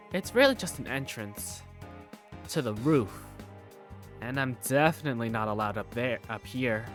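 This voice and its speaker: Man as Simon, animated student narrator over music. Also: a little high. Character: Simon, curious, self-doubting high school student narrator. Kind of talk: first-person scripted narration, amateur visual novel fandub.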